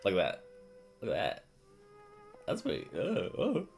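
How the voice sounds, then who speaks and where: Low-pitched, slangy game streamer. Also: wildly swooping pitch, measured pace, creaky, over music. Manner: slangy, irreverent, swears freely. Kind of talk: casual livestream gaming chat.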